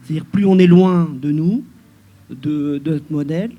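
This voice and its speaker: A man's voice: on the high side, normal speed, dull audio. A man speaking on a debate panel in a conversational way, using plain everyday words.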